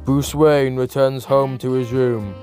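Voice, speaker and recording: A man narrates with dramatic, scripted delivery in a mid-pitched voice. His comic-book storytelling runs over steady background music. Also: a little slow.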